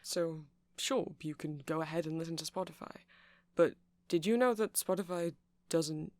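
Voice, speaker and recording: A man playing a nerdy male vampire in an ASMR roleplay, rambling haltingly and passionately to a listener as he explains things. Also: fairly high pitch, darkish tone, no room sound, natural voice. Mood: enthusiastic explaining.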